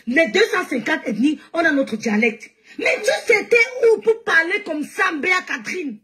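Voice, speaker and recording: A Cameroonian woman hosting a live stream, mocking and laughing as she rants and often shouts. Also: very high-pitched, big pitch swings, normal speed. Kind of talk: heated live-stream rant.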